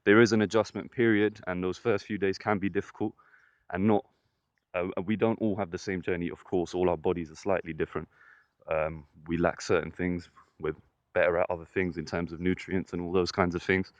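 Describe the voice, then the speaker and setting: A man with a fairly deep voice talking to the camera in a casual vlog, earnest and encouraging, often saying "listen" and "trust me". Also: steady tone, a little fast, no echo, muffled recording. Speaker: vlogger. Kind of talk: casual motivational vlog monologue.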